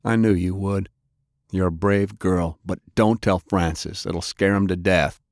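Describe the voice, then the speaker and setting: A man voicing a gruff, frank and protective older male adventurer in an audiobook. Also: low voice, fairly even intonation, measured pace, darkish tone, no echo, studio quality.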